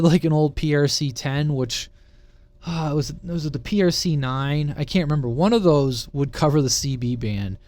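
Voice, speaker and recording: A man's voice: medium pitch, a little mellow, no room sound. A man giving a casual, unscripted technical explanation in an informal, conversational way, often checking that listeners follow. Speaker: presenter of a CB radio explainer channel.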